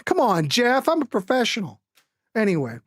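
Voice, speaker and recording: A woman's voice: on the high side, big pitch swings, moderate pace, breathy edge, no room sound, clean studio sound. A woman hosting a music show, chatting casually about records in a rambling, jokey, informal way with viewers.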